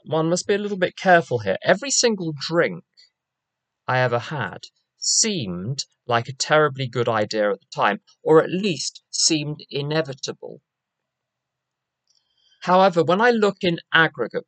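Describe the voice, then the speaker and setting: An older man with a London accent speaks at a recovery meeting in a measured, reflective and quietly wry way. His voice sits in an ordinary middle register. The sound is dry, with almost no echo from the room. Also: medium pitch. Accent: London English. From England. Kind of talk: personal share at a recovery meeting.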